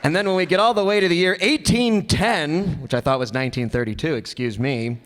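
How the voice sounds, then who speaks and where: Highish-voiced man announcing to a live crowd. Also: a little fast, a bit bright. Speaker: announcer, host of radio players troupe. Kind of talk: casual live stage announcing. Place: stage before live audience. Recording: live room, crowd, faint noise.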